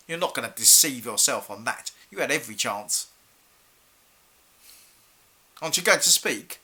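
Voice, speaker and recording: A man's voice: medium pitch, pushed and bright, slightly rough, heavily acted. A male actor playing a stern, exasperated head teacher in a theatrical monologue, accusing a pupil with formal, clipped questions. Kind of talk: theatrical monologue for an acting showreel.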